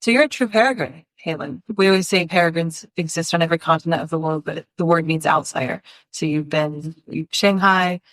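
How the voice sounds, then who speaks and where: A woman with a notably low voice hosting a casual interview. She talks with enthusiasm and rambles, often breaking off and restarting her sentences. The sound is dry and close. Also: brisk, hardly any pauses, fairly bright tone, no echo, rough recording. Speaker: host.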